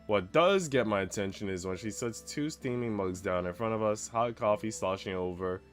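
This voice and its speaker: A man's voice: lowish voice, normal speed, faint music behind. A man streaming a game, chatting casually with slang and swearing and at times reading story narration aloud. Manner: informal, slangy, profane. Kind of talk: casual chat mixed with reading visual novel narration aloud.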